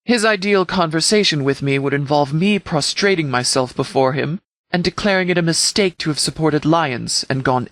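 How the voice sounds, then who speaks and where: A man playing a commanding sheriff in a video game, speaking formally and deliberately as he briefs subordinates. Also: fairly high pitch, fairly bright tone, no room sound, lightly acted. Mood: grave, strategic. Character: commanding, measured sheriff leading an armed department.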